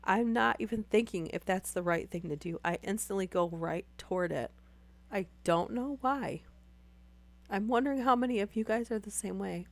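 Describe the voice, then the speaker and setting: A woman's voice: medium pitch, normal speed, gravelly. A young woman chatting casually with live viewers while losing her voice to a sore throat, rambling with many fillers. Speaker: streamer.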